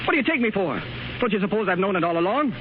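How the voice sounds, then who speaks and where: An actor playing an exasperated, protective husband and uncle in a 1930s radio drama, impatient and emphatic. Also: male voice, high voice, big pitch swings, very fast, soft background music. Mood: defensive. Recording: muffled, noisy 1930s radio broadcast.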